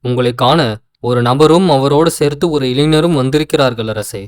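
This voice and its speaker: A man playing a respectful palace guard in an animated folktale, making loud, formal announcements. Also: mid-range voice, moderate pace, no room sound, lightly acted. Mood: dutiful, urgent. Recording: music under dry voice.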